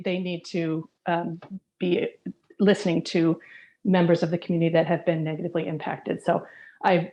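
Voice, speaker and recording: A woman resident speaking earnestly and haltingly, with many fillers, in a public comment at a community forum over a video call. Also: fairly low pitch, warm tone. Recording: dull lo-fi call audio.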